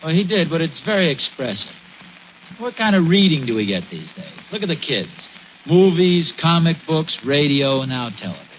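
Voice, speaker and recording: Through a dull, faintly noisy 1940s radio recording comes an earnest American suburban dad. His voice is fairly high and swoops as he lectures, a bit pompous and easily flustered. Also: big pitch swings, moderate pace. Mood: earnest musing. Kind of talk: 1940s radio sitcom acting.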